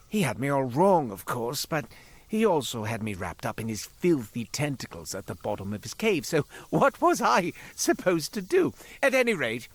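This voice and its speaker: Casting: a cursed game-world merchant, florid and wry, melodramatic, very animated pitch. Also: male voice, husky, no room sound, heavily acted. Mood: self-pitying. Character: theatrical, self-pitying cursed merchant, former quartermaster. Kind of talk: scripted video game character monologue.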